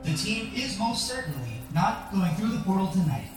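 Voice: mid-range voice, very animated, close to an everyday voice. Someone acting a super polite ai, dry and formal, very echoey w music behind. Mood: stern, dry. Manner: formal, measured, drily polite. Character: prim, cautious artificial intelligence lab machine. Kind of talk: audio drama acting.

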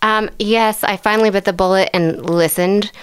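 A woman's voice: mid-range voice, steady tone, normal speed, clean tone. A woman from Tennessee co-hosting a casual podcast, friendly, with frequent "uh" and "um". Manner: friendly, uses "uh" and "um" often. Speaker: podcast co-host. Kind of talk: casual chat.

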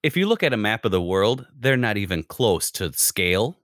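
A man's voice: fairly low pitch, sing-song, normal speed, fairly bright tone, no room sound, clean studio sound. An American man in casual conversation, talking in a rambling way and often breaking off mid-sentence. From United States. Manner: rambling, self-interrupting. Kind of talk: casual chat.

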